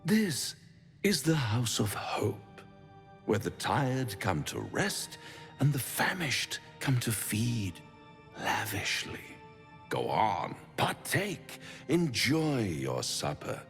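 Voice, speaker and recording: Deep, theatrical, silky devil. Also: male voice, huge pitch swings, slow, gravelly, in a large hall, faint music behind, heavily acted. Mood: grandly menacing. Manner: pompous, silky, teasing, florid delivery. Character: suave, theatrical male devil, smug, menacing tempter. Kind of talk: theatrical video game acting.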